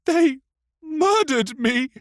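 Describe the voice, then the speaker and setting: Anguished, high-pitched ghost boy. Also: animated, extremely slow, fairly bright tone, no room sound, clean studio sound, heavily acted. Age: child. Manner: pleading, emotional, shrill when upset. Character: frightened, anguished ghost boy, bodiless, tormented. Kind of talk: dramatic audiobook character acting.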